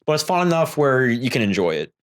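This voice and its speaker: A man's voice: medium pitch, sing-song, fast. A man on a podcast panel, chatting casually about films in a slangy, rambling way. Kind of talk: casual film discussion chat.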